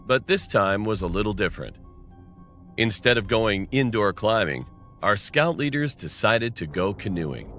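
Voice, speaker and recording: A man's voice: on the low side, bright, forceful tone. A man narrating a scripted first-person horror story.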